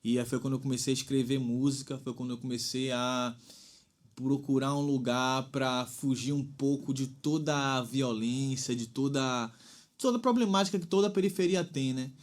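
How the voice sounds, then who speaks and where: A man's voice: medium pitch, normal speed. A man in his thirties from Bahia, Brazil, a singer-songwriter guest talking reflectively and at length, tagging phrases with "né?". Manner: thoughtful, rambling with frequent "né?". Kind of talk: reflective interview talk.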